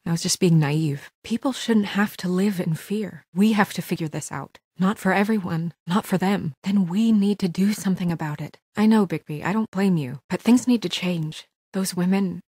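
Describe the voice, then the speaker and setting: A woman playing a composed, determined, guarded young fairy-tale heroine in a video game, speaking in an earnest, firm, measured way. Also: lowish voice, dark tone, slightly rough, dry recording, clean studio sound. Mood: troubled, resolute. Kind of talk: naturalistic video game voice acting.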